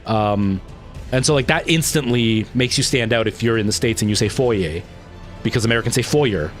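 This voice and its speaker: A man with a light Canadian accent talks casually to his livestream viewers, drifting from one tangent to the next. His voice sits in an ordinary middle register. Faint music plays quietly underneath. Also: mid-range voice, normal speed. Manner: rambling, goes off on tangents; responds to viewer comments.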